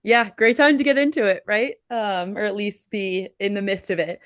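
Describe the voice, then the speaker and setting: A woman's voice: medium pitch, fairly even intonation, normal speed, no echo. A woman hosting a podcast interview, upbeat and chatty with fillers. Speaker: podcast host.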